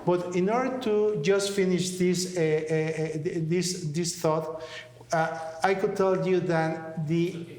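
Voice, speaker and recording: Mexican-accented man, slightly high, echoey. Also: fairly even intonation, slow pace, darkish tone. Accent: Mexican Spanish. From Mexico City. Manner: earnest, searching for words in a second language. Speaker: co-host. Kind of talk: conversational interview talk. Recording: echoey room.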